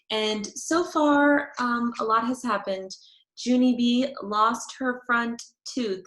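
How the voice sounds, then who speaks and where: Slow-paced woman reading to children, roomy sound. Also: fairly high pitch, a bit bright. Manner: instructive, addressing young listeners simply. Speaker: teacher reading aloud to pupils. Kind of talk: children's chapter book read-aloud. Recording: roomy echo.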